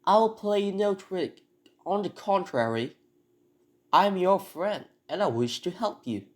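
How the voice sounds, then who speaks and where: A man's voice: high voice, expressive, measured pace, pitched above the performer's own voice. A man voicing a bitter, scheming noblewoman in a radio drama, insistent and conspiratorial. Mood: coaxing. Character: bitter, scheming noblewoman eager to betray her husband.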